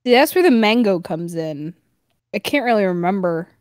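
A woman's voice: mid-range voice, lively intonation, moderate pace, a little mellow, clean tone, no room sound, clean studio sound. A woman playing a tabletop game, joking and teasing in crude, casual banter.

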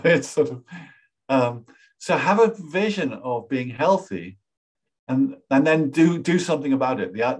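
A man in his sixties teaching a webinar from a small room at home, talking over a webcam in a relaxed, rambling way with lots of "you know". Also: lowish voice, husky. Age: 60s. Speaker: workshop facilitator. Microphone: webcam on a video call.

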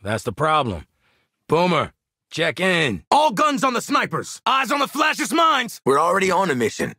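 A man's voice: a little high, sing-song, moderate pace, pushed and bright, slightly rough, dry recording, studio quality, heavily acted. A man playing a cocky, wry mercenary marksman in a video game, streetwise and sardonic, barking commands to his squad and swearing freely.

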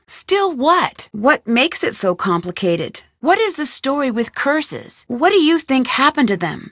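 A woman's voice: on the high side, dry recording, clean studio sound. An actress voicing a curious young woman detective, asking polite, inquisitive questions in recorded game lines.

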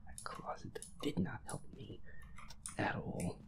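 A man muttering to himself in a breathy, whispery voice as he plays a game, repeating himself and swearing. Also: slow pace. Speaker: gamer playing a horror game. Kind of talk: hushed solo gameplay chatter, thinking aloud.

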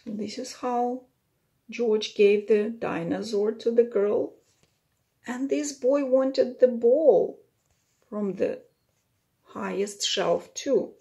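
A woman's voice: on the high side, takes it slowly, vocal fry, pauses often, mellow. A woman in her thirties or forties reading a picture book to children as a teacher, with simple explanatory asides.

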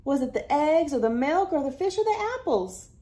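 A woman's voice: high voice, big pitch swings, moderate pace, warm tone, pitched above the performer's own voice. A woman voicing a kindly, eager, simple-minded railroad engineer in a cheerful, folksy storybook manner. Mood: eager, caring.

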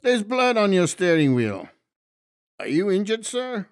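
Young patrol officer, male actor, audiobook: creaky, slightly high voice, wry, probing, lively inflection. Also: measured pace, no echo, studio quality, exaggerated voice. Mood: sly, angling. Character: young, wry, underpaid male highway cop on the take. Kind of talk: dramatized audiobook dialogue.

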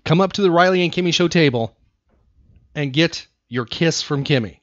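An American man with a fairly high voice hosts a casual show, stressing and repeating his points. The studio sound is dry and a bit dull. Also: moderate pace, no room sound. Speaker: podcast host. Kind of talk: casual talk-show hosting.

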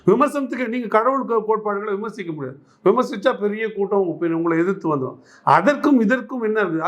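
A man speaks in a notably high-pitched voice. He comments on politics as an interviewee, pressing his case with emphatic repetition and pointed rhetorical questions. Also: a bit bright. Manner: argumentative, emphatic, repeats phrases and poses rhetorical questions.

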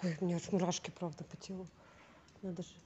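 A woman in her thirties filming a casual travel vlog at arm's length, chatty and quick to laugh and exclaim. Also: lowish voice, level tone, warm tone. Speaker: vlogger. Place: outdoors in a city and indoors in a museum. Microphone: handheld camera at arm's length. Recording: dull lo-fi camera audio with street noise.

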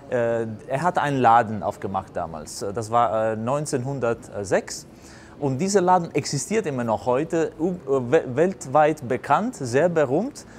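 A middle-aged Italian-accented man, a native Italian speaker, gives unscripted interview answers at a medium pitch. He searches for words in his second language, with clear ambient noise behind him on a lapel mic. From Basilicata, southern Italy. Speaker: restaurant operator, interviewee. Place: indoors in a restaurant bar.

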